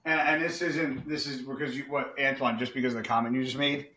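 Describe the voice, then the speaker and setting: A man in his forties hosting a casual podcast chat, blunt and animated, swearing freely. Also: medium pitch, fast talker, reverberant, slight background noise, low-quality recording. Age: 40s. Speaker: podcast host.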